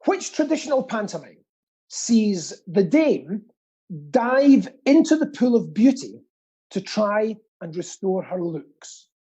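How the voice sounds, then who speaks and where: A man's voice: sits high, big pitch swings, slow, warm tone, husky, poor audio. A middle-aged British man co-hosting a live quiz, with casual banter and a theatrical habit of repeating phrases. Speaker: quiz co-host and pantomime dame performer.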